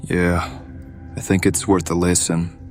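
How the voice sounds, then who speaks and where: A man's voice: low voice, flat delivery, unhurried, darkish tone, soft background music. An actor playing a moody, bitter male hireling in an audio drama, speaking in a curt, sardonic, sullen way. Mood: grudging.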